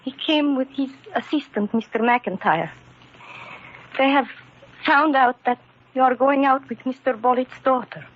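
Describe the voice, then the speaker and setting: An earnest immigrant wife in a radio drama, dignified and wounded. Her voice is fairly high and husky, with a foreign accent and careful, formal phrasing. The old recording sounds dull and muffled. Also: unhurried. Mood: cold, wounded. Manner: careful, formal, uncontracted speech.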